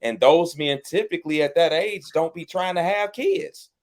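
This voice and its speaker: A man's voice: fairly high pitch, moderate pace. A man hosting a podcast, explaining dating views in a matter-of-fact, lecturing way with casual dialect grammar. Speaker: podcast host.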